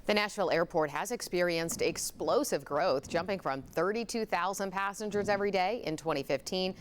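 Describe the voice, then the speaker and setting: A woman's voice: lowish voice, brisk, a little hiss or hum. An American woman anchoring TV news, reading from a script.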